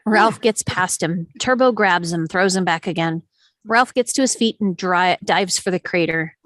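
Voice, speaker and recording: A woman's voice: fairly low pitch, moderate pace, a bit bright, dry recording, rough recording. A woman reading stage directions as scripted narration at a table read, in a dramatic storytelling manner.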